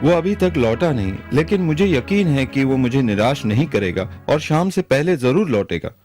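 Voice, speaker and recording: A man playing a kind, honest moneylender in a moral-story cartoon, speaking in a gentle, earnest way. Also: medium pitch, big pitch swings, moderate pace, faint music behind, close to an everyday voice. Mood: anxious, pleading. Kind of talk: animated moral-story dubbing.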